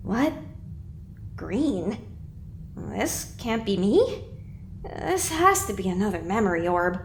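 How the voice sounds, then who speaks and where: A woman's voice: mid-range voice, big pitch swings, slow, a little hiss or hum. A woman voicing a young, anxious pony mare in an audio drama, hesitant and bewildered. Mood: disbelieving.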